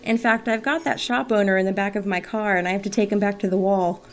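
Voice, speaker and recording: A woman playing a dry, resolute secret agent in an audio drama, with a wry, brisk manner. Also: mid-range voice, steady tone, faint music behind, natural voice.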